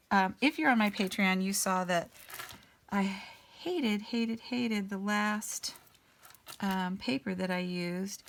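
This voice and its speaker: A woman hosting a casual coloring session, a little raspy as she gets over an illness, thinking aloud in an unhurried way with many ums. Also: mid-range voice, slight background noise. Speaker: artist and channel host. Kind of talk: casual color-along chat.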